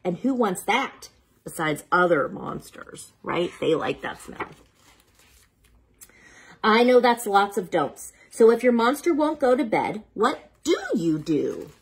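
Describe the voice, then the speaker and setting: A woman reading picture books aloud to young children, playful and dramatic, pausing for chatty asides and questions to the listener. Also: mid-range voice, very animated, slightly rough.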